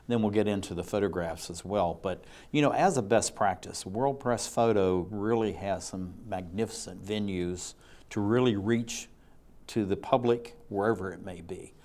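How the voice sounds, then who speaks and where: A man's voice: sits low, darkish tone. A man hosting an interview show, asking long rambling and enthusiastic questions. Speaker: talk show host.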